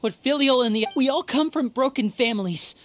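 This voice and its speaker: A woman's voice: sits high, fairly quick, lightly acted. A woman voicing an earnest, deferential youth in a video game, with a pleading manner. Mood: pleading, apologetic. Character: earnest, respectful youth pleading with a feared parent.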